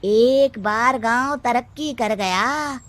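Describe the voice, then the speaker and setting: A woman voicing a sly, slow-talking male advisor. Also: fairly high pitch, fairly bright tone. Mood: scheming. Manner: chuckling, slow and wheedling. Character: sly scheming male village advisor, cunning. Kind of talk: cartoon story voice acting.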